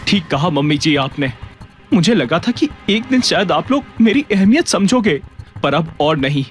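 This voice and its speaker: A man's voice: fairly high pitch, very animated, moderate pace, soft background music. A man playing a proud, hot-tempered, sarcastic young husband in an audio drama, assertive and biting. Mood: wounded pride. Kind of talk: Hindi audio drama acting.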